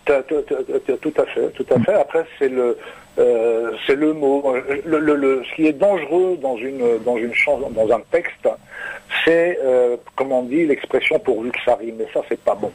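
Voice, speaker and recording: An older man, a singer-songwriter whose first language is Kabyle, talking in a halting way with many repeated words in a radio interview. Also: medium pitch, darkish tone, low-quality recording. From Normandy, France.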